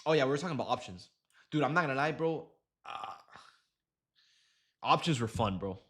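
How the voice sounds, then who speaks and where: A man's voice: mid-range voice, expressive, brisk, fairly bright tone, breathy edge. A young man talks through his trades on a livestream in a loose, slangy way. He keeps breaking off into fillers and restarts while he explains, never settling into a set pace. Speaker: day trading streamer. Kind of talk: casual livestream chat while trading.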